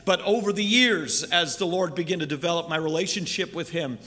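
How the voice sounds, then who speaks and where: A middle-aged man raised in Canada, a missionary preacher opening a sermon in a church, earnest and halting, with many fillers. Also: on the high side, bright, forceful tone, long reverb tail. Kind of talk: sermon introduction. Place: church sanctuary.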